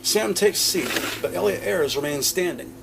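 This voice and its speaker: A man's voice: high-pitched, lively intonation, moderate pace, creaky, noisy background. A man narrating a scripted audio drama in the present tense.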